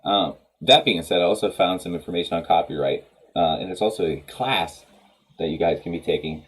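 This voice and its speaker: A man's voice: low voice, brisk, a little hiss or hum. A man in his thirties hosting a music livestream from a home studio, talking in a rambling casual way with lots of ums, his nose stuffed up from a cold. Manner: rambling, many ums and restarts, laughs easily. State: congested from a cold. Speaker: livestream host of a music workshop.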